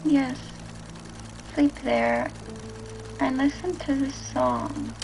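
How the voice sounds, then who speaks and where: A woman voicing a troubled, withdrawn little girl in a video game, speaking in terse, halting phrases. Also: high-pitched, steady tone, gravelly, over music, heavily acted, rough recording. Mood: eerie, withdrawn.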